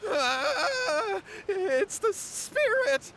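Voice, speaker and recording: A man's voice: very high-pitched, very animated, extremely slow, bright, forceful tone, slight background noise, exaggerated voice. A man playing a nervous, apologetic young schemer in a video game, earnest and anxious in his delivery. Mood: terrified. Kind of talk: video game character acting.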